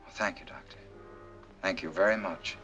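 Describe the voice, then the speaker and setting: An actor in a 1940s film playing a polite, hesitant man seeking a doctor's help, speaking haltingly. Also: low voice, steady tone. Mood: anxious, downcast. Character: polite, hesitant man posing as troubled patient. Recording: vintage film soundtrack, loud music behind.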